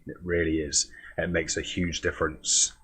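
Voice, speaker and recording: Deep husky man, chatting. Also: flat delivery, moderate pace, a bit bright. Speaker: podcast host. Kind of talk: casual interview chat.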